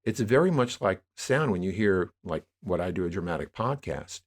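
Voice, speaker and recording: Male podcast guest, lower-pitched voice, talks through ideas conversationally as an emphatic, discursive storyteller. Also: expressive, moderate pace. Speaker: podcast guest, audio drama producer. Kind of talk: conversational interview, explaining media history.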